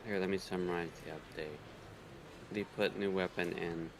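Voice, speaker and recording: A man's voice: low voice, steady tone, moderate pace, gravelly, noisy background. A man co-hosting a livestream, trading casual banter and reading game text aloud. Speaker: co-host of a visual novel readthrough. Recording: music playing behind the voice. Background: game music.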